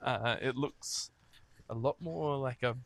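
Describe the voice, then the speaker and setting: A man's voice: medium pitch, slow pace. A man co-hosting a podcast on a road trip, talking casually in tentative, half-finished sentences. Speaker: podcast co-host. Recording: clear vehicle and outdoor background noise.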